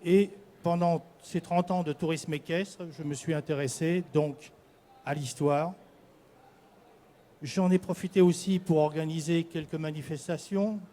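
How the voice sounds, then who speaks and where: Older man from the Paris region, a prize candidate presenting live. Slightly raised pitch, halting delivery with self-corrections. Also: steady tone, dark tone. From Paris region, France. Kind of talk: semi-prepared spoken presentation to an audience.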